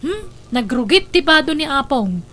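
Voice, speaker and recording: A woman with an Ilocano accent acting in a comedy drama, talking in a sharp-tongued, defensive way. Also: sits high, sing-song, normal speed. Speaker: drama voice actor, daughter-in-law caring for an old mother.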